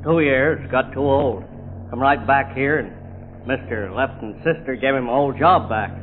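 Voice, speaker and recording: A man's voice: mid-range voice, normal speed, natural voice. Man playing a folksy old-radio farmhand, tinny and humming. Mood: folksy, chatty. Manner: rustic, ungrammatical country speech. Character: folksy middle-aged male farmhand and ex-soldier, plainspoken and gossipy. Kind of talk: 1940s radio drama acting. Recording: tinny old radio recording, noisy hum.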